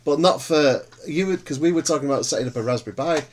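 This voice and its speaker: A middle-aged man with a loud, booming voice, hosting a casual family podcast and steering the talk along. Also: quick pace. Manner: laid-back, repeats "right" to steer the talk.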